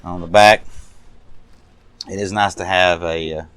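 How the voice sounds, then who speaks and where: A man's voice: low-pitched, fairly even intonation, a little slow, fairly bright tone, smooth. A man giving a casual, unscripted hands-on show-and-tell as a hobbyist restorer, talking in a folksy, rambling way. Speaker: hobbyist radio restorer showing a project.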